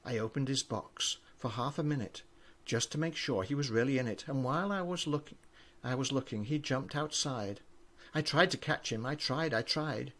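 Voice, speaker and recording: An older man, a grandfather, reading children's poems aloud with an expressive storybook delivery. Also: mid-range voice, moderate pace.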